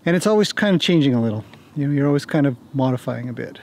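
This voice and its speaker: Mellow, laid-back man vlogging outdoors. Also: medium pitch, expressive, rapid-fire. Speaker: vlog host and horse paddock owner. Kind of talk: casual walk-and-talk vlog explanation. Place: outdoors on a wooded horse property. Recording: clear outdoor ambient noise.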